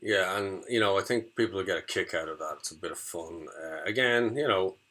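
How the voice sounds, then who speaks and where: A man giving an informal spoken demonstration of audio effects in a hesitant, halting way. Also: low-pitched, noisy background.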